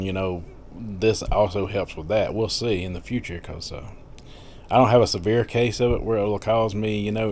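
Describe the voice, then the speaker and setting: Chatty man in his fifties, low voice. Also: slight background noise. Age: 50s. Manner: chatty, rambling, with frequent fillers and soft laughs. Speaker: vlogger. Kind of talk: casual diet vlog.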